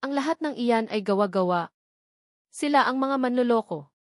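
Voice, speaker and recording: A woman's voice: fairly high pitch, expressive, normal speed, no room sound, natural voice. A scheming Filipino lawyer , acted out by a woman storyteller, insists and defies like a cornered villain in a narrated drama. He sounds desperate, and the recording is dry. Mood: defiant denial.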